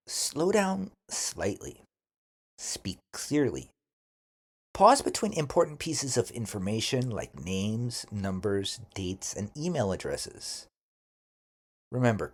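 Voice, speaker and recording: Husky male English teacher, very varied intonation. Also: mid-range voice. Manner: deliberate, careful enunciation for learners. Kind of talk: scripted language lesson with model phrases to repeat.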